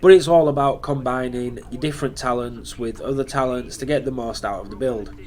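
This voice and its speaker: A man's voice: mid-range voice, brisk, a little mellow. A man hosting a gaming channel, talking through a build in casual commentary, sounding nasal and sniffly from hay fever. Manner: chatty, explaining step by step.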